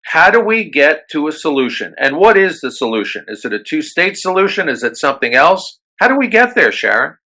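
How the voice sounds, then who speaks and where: An American man hosting a radio interview, talking haltingly with many fillers and restarts. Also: on the high side, very animated, bright, forceful tone. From United States. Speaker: radio show host.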